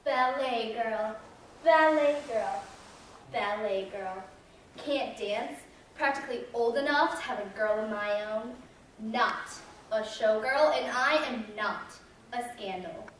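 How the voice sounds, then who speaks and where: A woman's voice: on the high side, takes it slowly. A woman playing a proud, defensive aging circus ballet girl, speaking emphatically on stage. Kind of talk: readers theater monologue. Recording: very echoey hall, distant, faint noise.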